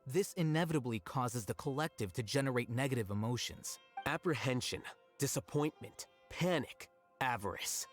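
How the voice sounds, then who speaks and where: A man voicing a calm, cerebral, coolly confident young scholar in a video game, speaking in a measured, formal, analytical way. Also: medium pitch, natural voice. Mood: calm, explanatory. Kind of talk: scripted video game voice acting. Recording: loud game music over the voice.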